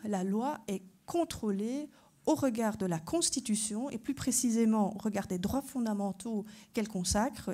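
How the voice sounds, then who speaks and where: A woman from Belgium, a native German speaker, gives a formal conference lecture as a constitutional court judge. Her voice is mellow and warm, and she sits at an ordinary pitch for a woman. She sets out her points in an orderly way and often asks a question before answering it. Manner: structured explanatory delivery, poses rhetorical questions.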